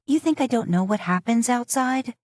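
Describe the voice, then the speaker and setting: Audiobook heroine: a proud young wife, firm and insistent, read by the narrator. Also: female voice, on the high side, expressive, moderate pace, darkish tone, dry recording, clean studio sound, pitched above the performer's own voice, close to an everyday voice.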